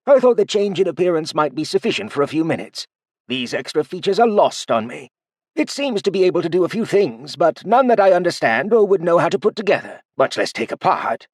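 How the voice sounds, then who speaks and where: A man's voice: on the high side, big pitch swings, brisk, no room sound, pitched above the performer's own voice. A man voicing a sly, playful old male cultivator who teases with feigned innocence. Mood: wry.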